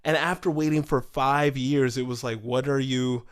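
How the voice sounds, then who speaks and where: Man talking casually, mid-pitched. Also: steady tone, moderate pace, smooth. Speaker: podcast co-host. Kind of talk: casual opinion talk.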